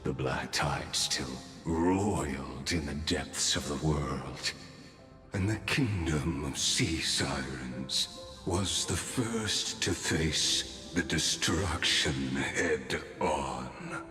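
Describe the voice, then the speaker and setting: An extremely deep male villain from a fantasy game, speaks with formal, theatrical grandeur. He takes his time, patient and philosophical about destruction. His voice rings in long, echoing reverb over music. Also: pushed and bright, exaggerated voice. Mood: grave, narrating. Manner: slow, deliberate, formally rhetorical. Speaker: villain character narrating memories in a fantasy game. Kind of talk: theatrical scripted game voice acting.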